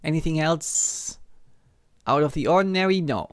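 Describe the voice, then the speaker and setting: A man's voice: a little high, lively intonation, moderate pace. A man from Germany with a German accent, giving casual live game commentary, hesitant and chatting to viewers. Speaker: livestreamer, game host. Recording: faint game ambience behind.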